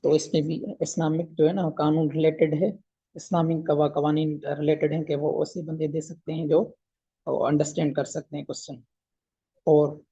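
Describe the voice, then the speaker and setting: Guy lecturing informally, kinda husky and muffled-soft tone, repeats himself, drops in english terms. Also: a little high. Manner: mixes English legal terms into speech. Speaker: law lecturer.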